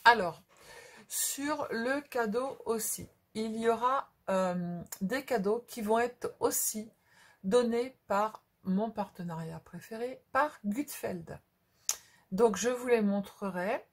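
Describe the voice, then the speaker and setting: Slow, husky middle-aged woman. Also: a little high. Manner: hesitant, rambling, repeats herself. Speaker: craft video host. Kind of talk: casual unscripted vlog chat.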